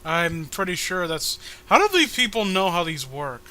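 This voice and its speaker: A man doing a casual comic read-along, halting as he drops sardonic asides between character lines. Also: sits high, very animated, pushed and bright. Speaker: co-host reading a webcomic aloud.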